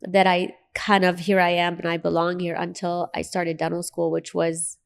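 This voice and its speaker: A woman's voice: on the low side, completely flat delivery, normal speed, vocal fry, clean tone. A woman from Lebanon with an American accent and Arabic as her first language, telling her personal story as an interview guest in an earnest, emphatic way.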